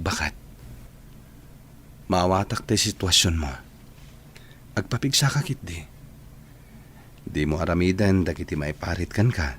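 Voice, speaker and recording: A man's voice: low voice, a bit bright, slight background noise. A man playing a patient, devoted and tender character in an Ilocano radio drama.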